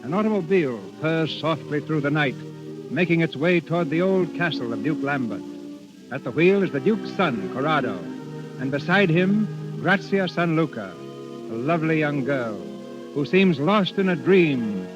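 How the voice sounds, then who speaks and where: A man's voice: a little high, lively intonation, moderate pace. Formal old radio announcer, man, music behind. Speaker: radio theater announcer and narrator. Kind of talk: 1930s-40s scripted radio narration. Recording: muffled old radio broadcast recording.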